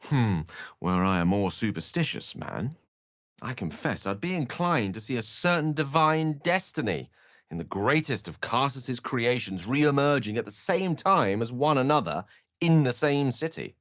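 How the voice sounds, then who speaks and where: An actor voicing a learned, courteous wizard in a video game, with eloquent, courtly phrasing and wry asides. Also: male voice, mid-range voice, big pitch swings, normal speed, a bit bright, no room sound, studio quality. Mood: musing. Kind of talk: video game character voice lines.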